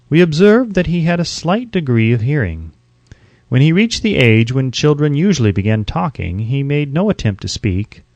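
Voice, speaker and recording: A man reading an audiobook as scripted narration, in a measured, emphatic, oratorical way. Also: mid-range voice, darkish tone, no room sound, dull audio.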